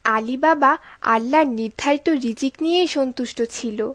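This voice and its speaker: A woman's voice: sits high, normal speed, a bit bright. A woman narrating a scripted cartoon story as a storyteller for young children. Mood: storytelling. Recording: clear background noise behind narration.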